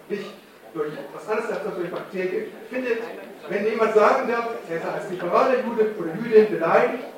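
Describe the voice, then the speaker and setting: A man in the audience pressing an indignant question at a panel moderator. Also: high voice. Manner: indignant, insistent. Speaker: audience member asking a question. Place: large hall at a community event. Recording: echoey hall from far off.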